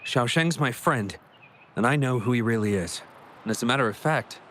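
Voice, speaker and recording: An earnest young male researcher in a video game, played by a man, speaks at a medium pitch. He is apologetic and hesitant as he confides, with faint ambience behind him. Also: normal speed, fairly bright tone, slight background noise, natural voice.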